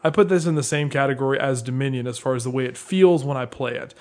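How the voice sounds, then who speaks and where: A man with a mid-pitched voice chats casually as co-host of a board game show. He comes across as jovial and conversational, talking as he would with a friend. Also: fast, darkish tone. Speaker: podcast co-host. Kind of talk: casual chat about board games.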